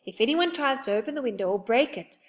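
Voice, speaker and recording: A lively little magical man from a storybook, decided and bossy, who bursts into fierce yelling. A woman reader acts him out. His voice is bold and jumpy with excitement. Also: a little high, voice pushed up, close to an everyday voice. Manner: decided, quick to shout.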